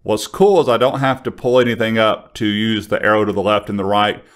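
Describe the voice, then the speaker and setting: Male tutorial host, 50s, lowish voice, conversational, close studio mic. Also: brisk. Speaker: software trainer. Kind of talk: casual tutorial to camera. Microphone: large studio condenser microphone on an arm, close.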